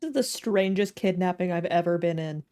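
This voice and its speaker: A woman's voice: on the low side, fairly quick, mellow. A woman chatting casually and reacting aloud as she streams a game. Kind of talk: casual live gameplay commentary. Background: game music.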